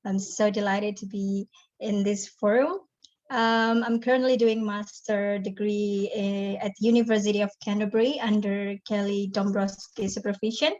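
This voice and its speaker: A woman's voice: mid-range voice, flat delivery, moderate pace, darkish tone. A woman, a master's student, introducing herself on a video call in a friendly way. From Indonesia. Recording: muffled video call audio.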